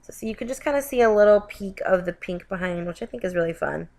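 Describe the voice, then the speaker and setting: A woman's voice: medium pitch, quick pace, a little mellow. A woman narrating a card-making tutorial as a voiceover, sounding stuffy from a lingering cold, chatty and often correcting herself. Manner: chatty, with frequent self-corrections and "you guys". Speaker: craft video host.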